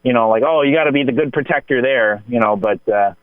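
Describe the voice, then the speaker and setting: A man's voice: medium pitch, fast, no room sound. American male radio guest, casual and digressive storyteller, narrow-band and very dry recording. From United States. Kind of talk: casual reminiscing film talk.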